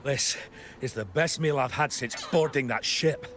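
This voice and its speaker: An actor playing a weak, starving shipwreck survivor in a video game, halting and sighing with relief and gratitude. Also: male voice, mid-range voice, a bit bright, soft background music, natural voice. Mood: weary gratitude. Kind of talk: dramatic video game voice acting.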